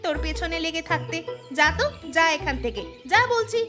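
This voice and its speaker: A high-pitched young village housewife from a cartoon moral tale. She is proud and sharp-tongued, pushing her words out in emphatic taunts. Music plays clearly behind her. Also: expressive, fairly quick. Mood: haughty, quarrelsome. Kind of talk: cartoon moral-story voice acting.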